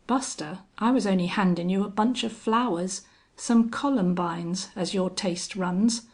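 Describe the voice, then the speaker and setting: A woman's voice: medium pitch, unhurried, natural voice. A woman voicing a cold, contemptuous older male gunfighter in a western audiobook, steely and taunting. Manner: strange monotone, taunting, cold. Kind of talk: audiobook character acting.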